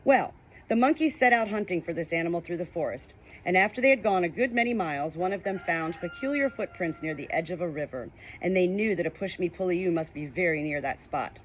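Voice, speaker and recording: A woman reading a children's audiobook aloud in an expressive, informal way. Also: on the low side, a little fast, slight background noise, rough recording. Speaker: audiobook reader. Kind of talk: children's storybook narration.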